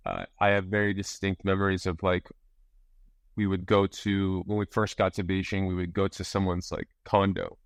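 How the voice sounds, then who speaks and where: A young man, a guest, telling his life story casually, with many pauses and fillers. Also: sits low, gravelly, no room sound. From grew up abroad in Russia, China and Uzbekistan. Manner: relaxed, hesitant, many fillers. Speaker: guest musician and entrepreneur.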